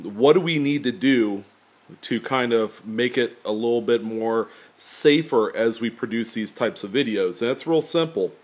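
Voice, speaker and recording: A man with a deep, slightly nasal voice chats easily to his viewers. He rambles along in an unhurried way, filling the pauses with ums and uhs. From California. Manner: relaxed, rambling, many fillers. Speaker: coin collecting YouTuber. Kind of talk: casual talk to camera. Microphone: camera pointed at table, speaker off screen.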